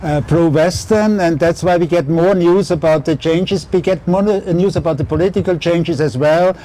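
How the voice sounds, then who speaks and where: A man moderating a public talk outdoors, halting and full of fillers. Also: fairly high pitch, mellow. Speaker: event moderator. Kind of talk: public talk on a city tour. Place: outdoor city square.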